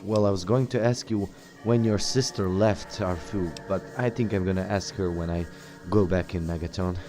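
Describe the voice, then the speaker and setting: Low-voiced, halting male gamer. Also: steady tone. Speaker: gamer role-playing a game character. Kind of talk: improvised in-character game dialogue. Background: game music.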